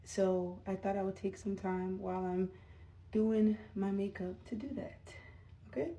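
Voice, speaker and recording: A woman's voice: medium pitch, steady tone, unhurried, warm tone, distant mic. A woman in her thirties chatting casually to camera while doing her makeup, rambling with lots of fillers. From United States. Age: 30s. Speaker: vlogger.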